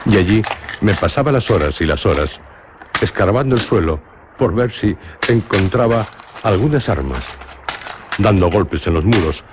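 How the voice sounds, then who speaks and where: A man with a Spanish accent narrating a radio drama in a measured, literary storytelling manner. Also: on the low side, lively intonation, noisy background. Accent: Spanish from Spain.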